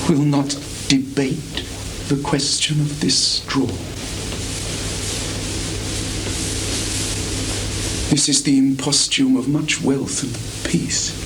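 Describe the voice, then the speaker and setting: Slow, declamatory young prince played by a man. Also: medium pitch, lightly acted. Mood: questioning, grave. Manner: declamatory, deliberate, impassioned. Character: Hamlet, anguished self-reproaching young prince. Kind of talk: Shakespearean stage acting. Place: small theatre stage in Tokyo. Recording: noisy 1980s live theatre recording.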